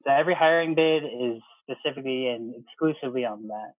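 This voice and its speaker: A man's voice: medium pitch, no room sound. American man explaining, dry dull audio. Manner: explanatory, halting, often rephrasing. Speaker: interview guest, air traffic controller. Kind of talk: casual interview conversation.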